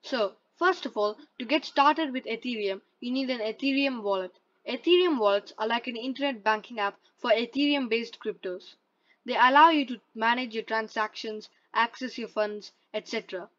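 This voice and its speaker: A woman's voice: fairly high pitch, normal speed, fairly bright tone. A woman presenting a step-by-step tutorial in a plain, instructional way, reading from a script. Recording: dull lo-fi voice-over.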